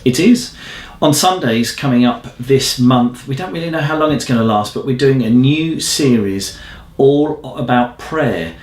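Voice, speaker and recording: A man's voice: medium pitch, unhurried, a little mellow. A man co-hosting an informal church news update in a conversational, reflective manner. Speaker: church newsreel co-host. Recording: echoey room sound.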